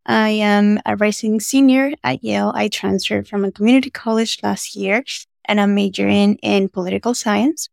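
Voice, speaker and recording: A woman's voice: mid-range voice, fairly even intonation, normal speed, creaky, a little mellow, dry recording, studio quality. A young woman from Venezuela, a student intern, speaking thoughtfully in a casual podcast conversation. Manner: thoughtful, uses many fillers.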